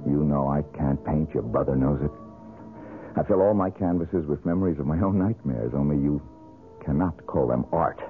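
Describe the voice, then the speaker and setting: An actor playing a bitter, brooding, tormented painter in 1970s radio drama style, sardonic and given to dramatic pauses. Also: male voice, rumbling low. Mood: brooding, haunted. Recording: narrow-band old radio recording, music.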